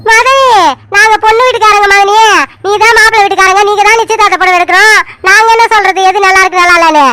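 A woman's voice: very high voice, steady tone, fast talker, noisy background. A woman voicing a humble female relative who politely defers to her in-law. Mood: deferential. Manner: polite, self-effacing.

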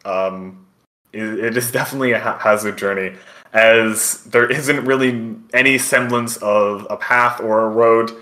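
A man improvising descriptive, halting narration as game master of a tabletop roleplaying game. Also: lowish voice, a bit bright.

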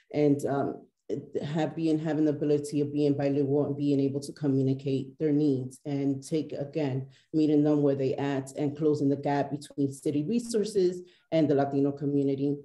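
A woman with a low, very dark and mellow voice speaks earnestly on a webcam panel. She talks haltingly, pausing often. She is in a small office with a roomy echo. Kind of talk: panel discussion on a video call. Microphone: video call webcam.

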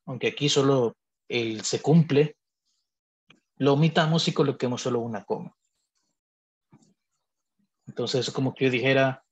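A man teaching a logic lesson over a screen recording, speaking in a measured, deliberate way and pausing to correct himself as he explains. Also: medium pitch, breathy edge. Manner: measured, deliberate, thinks aloud with pauses and self-corrections. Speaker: math teacher. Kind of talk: explaining logic notation on a digital whiteboard.

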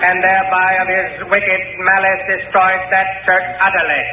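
An actor playing a formal Inquisition court clerk who drones out charges in 1940s radio drama style. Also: male voice, high voice, phone-quality sound, lightly acted. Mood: formal, impersonal. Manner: rapid monotone reading of formal charges. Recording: thin, echoey 1940s radio recording, faint noise.

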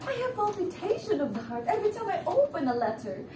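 A woman's voice: very high-pitched, very animated, quick pace, far from the mic, noisy background. A young woman playing a shrewd, restless, debt-ridden woman scholar in a stage play, theatrical and musing. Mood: brooding, fretful. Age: young adult. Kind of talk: amateur stage play acting. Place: indoor living-room stage set. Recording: echoey stage from afar, music behind.

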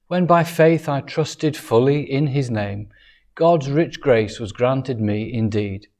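A middle-aged man preaching in a church, with a measured and earnest way of speaking. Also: medium pitch, darkish tone. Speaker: Salvation Army officer preaching. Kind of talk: sermon. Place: inside a church hall.

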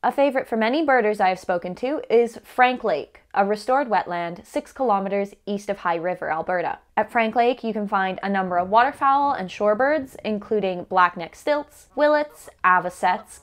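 A young woman giving scripted instructional narration in a measured, carefully enunciated way. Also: mid-range voice. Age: young adult. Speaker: presenter of a beginner's birdwatching guide.

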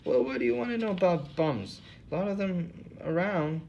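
A man's voice: animated, normal speed, vocal fry, a little mellow, highs cut off, a little hiss or hum. A man voicing a whiny old male hobo who keeps begging for drink money. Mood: wheedling. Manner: pleading, nagging, higher-pitched.

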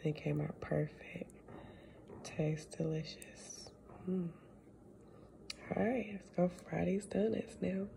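A woman's voice: fairly low pitch, slow pace, pauses often, warm tone. A woman giving casual, slangy commentary as she cooks at home, filming on a phone. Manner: informal, slangy, pleased murmuring asides. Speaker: home cook vlogger. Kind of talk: casual cooking commentary while working. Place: home kitchen, indoors. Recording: clearly noisy home phone video.

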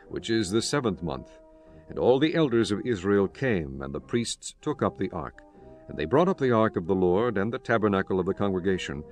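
A man narrates scripture in a fairly low voice. His delivery is formal and measured, with faint music underneath. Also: darkish tone.

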